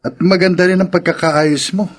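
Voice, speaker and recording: A man's voice: sits high, fairly even intonation, normal speed. A man with a Filipino accent acting as a devoted, cheerful friend in a radio drama. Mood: cheerful, affectionate.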